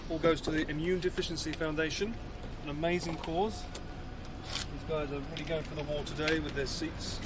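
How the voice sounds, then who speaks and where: A man speaks casually to his stream viewers while out by a road, his tone easy and chatty. His voice is a little high for a man. Passing traffic is clearly heard under him in this outdoor stream clip. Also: unhurried, long pauses. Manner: relaxed, chatty, talks straight to viewers.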